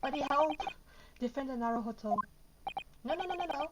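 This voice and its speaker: A woman's voice: high voice, lively intonation, a little fast, heavy background noise. A woman streaming a video game from her bedroom, reading the dialogue aloud and reacting informally as if talking to herself. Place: bedroom at home. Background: loud game music.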